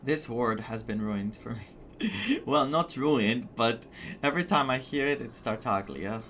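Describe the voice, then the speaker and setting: A man's voice: medium pitch, expressive, measured pace, fairly bright tone, a little hiss or hum. A man from Greece with a Greek accent, giving casual, enthusiastic let's play commentary with reactive asides. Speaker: gaming content creator. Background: game music.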